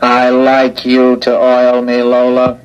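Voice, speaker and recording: An actor playing an obedient, childlike iron robot in a radio drama, speaking in a measured monotone. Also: male voice, mid-range voice, muffled recording, faint music behind, lightly acted. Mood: naively curious. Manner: flat, measured monotone delivery. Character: slow, obedient, childlike iron robot henchman, naively curious. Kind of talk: old-time radio drama acting.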